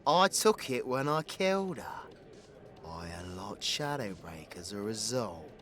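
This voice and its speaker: Supervillain for audio roleplay, played by a man: British, crude, theatrical, menacing, unhurried delivery with big swings in pitch. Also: big pitch swings, fairly bright tone, faint music behind. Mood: brooding, confiding. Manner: swaggering, crude, theatrical, dropping into menacing whispers. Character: cocky, foul-mouthed male supervillain with dark magic. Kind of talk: ASMR audio roleplay acting.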